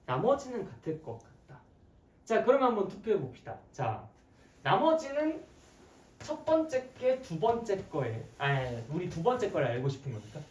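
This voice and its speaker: Hoarse, animated math teacher in an echoey room. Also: medium pitch, normal speed. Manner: playful, questioning, conversational. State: hoarse, frequent throat clearing. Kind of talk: interactive classroom lecture. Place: classroom with students. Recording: echoey, distant mic, background noise.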